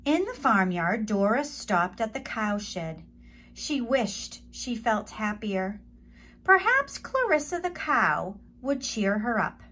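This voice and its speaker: Slow, expressive woman reading a children's story. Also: a little high. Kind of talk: children's story read-aloud.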